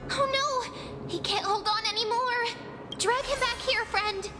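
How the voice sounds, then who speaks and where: Very high, tiny fairy girl. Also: measured pace, music in the background. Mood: worried. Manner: cutesy, girlish, expressive. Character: tiny childlike fairy companion, sweet, caring, playful girl. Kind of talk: English video game voice acting.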